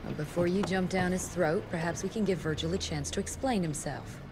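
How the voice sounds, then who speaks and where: Woman as a composed, lowish-voiced agency woman. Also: fairly quick, background noise, lightly acted. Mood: gently reproving. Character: composed, dry-witted female agency colleague.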